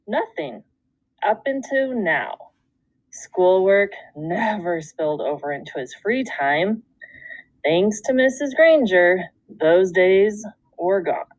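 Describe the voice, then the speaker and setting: A woman teacher reading a children's book aloud, with expressive storytelling and deliberate phrasing. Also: mid-range voice, no echo, dull audio. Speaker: second grade teacher.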